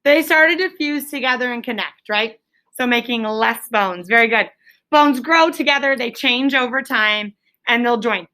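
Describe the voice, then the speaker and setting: A woman's voice: fairly high pitch, moderate pace, sharp tone. A woman teaching elementary school pupils in a classroom, prompting them with questions and repeating them, often checking with "right?".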